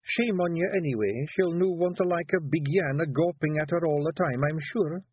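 A man voicing a young Scottish fairy wife, high-pitched and slow, sweet on the surface with steel underneath. The voice is husky, and the audio sounds tinny and dry. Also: dry recording. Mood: stern sweet. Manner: dangerously sweet, measured.

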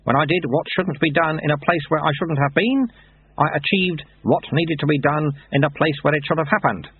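A man voicing an ancient, calm monk teacher who speaks with dry, patient irony. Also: fairly high pitch, fairly quick, breathy edge, dry recording, voice pushed up. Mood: calm wry. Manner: dry, patient, gently ironic. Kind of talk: audiobook character reading. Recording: dry, tinny phone-like sound.

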